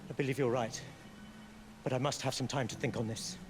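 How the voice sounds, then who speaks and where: An actor playing a dignified, aggrieved prince, courtly and formal, in a video game drama. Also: male voice, medium pitch, steady tone, a little fast, background noise, lightly acted. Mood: guarded, courteous. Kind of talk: dramatic game cutscene acting.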